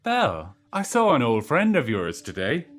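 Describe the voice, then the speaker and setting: A man's voice: medium pitch, moderate pace. A man in an amateur radio play voicing a genial Victorian husband sharing gossip, over faint music. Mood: gossipy. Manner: lively, gossipy storytelling.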